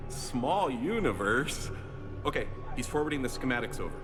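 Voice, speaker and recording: An actor playing an awkward, eager human fan in a video game cutscene, talking in a nervous, rambling way. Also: male voice, medium pitch, very animated, normal speed, over music, close to an everyday voice. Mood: excited.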